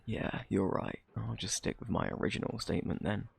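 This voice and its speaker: A man with a British accent acting out a flirty, cocky thief under interrogation in an ASMR roleplay, teasing and sarcastic in a husky, gravelly drawl. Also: fairly low pitch, fairly even intonation, a little fast, exaggerated voice. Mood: mock innocent. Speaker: audio roleplay performer.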